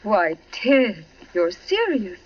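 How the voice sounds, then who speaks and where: Affectionate old-time radio wife, poised but evasive, mid voice, dull vintage sound. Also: female voice, medium pitch, big pitch swings, takes it slowly. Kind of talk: 1940s radio acting. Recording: muffled old radio recording.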